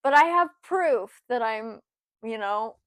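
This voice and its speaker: A woman in her twenties, rambling through a casual personal story with lots of fillers, her voice sometimes dropping soft. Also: a little high, lively intonation, slow pace, no echo. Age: 20s. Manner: rambling, lots of "like" and "you know", voice drops soft at times. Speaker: vlogger.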